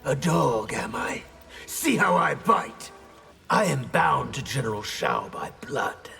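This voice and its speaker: A man voicing a stern, loyal, defiant warrior in a video game, with a gravelly voice. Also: mid-range voice, sing-song, takes it slowly, pushed and bright, big-room sound, soft background music, full character voice.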